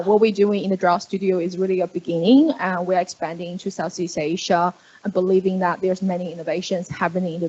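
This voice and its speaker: A woman's voice: darkish tone, muffled recording. A woman giving a conference presentation, speaking with many fillers and restarts. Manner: hesitant, many fillers, upbeat pitch. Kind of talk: conference talk. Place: conference room.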